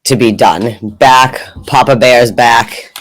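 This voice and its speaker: A man's voice: mid-range voice, takes it slowly, rough recording. A man co-hosting a casual hockey podcast, chatty and rambling, full of "like". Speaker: podcast co-host. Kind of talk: casual chat.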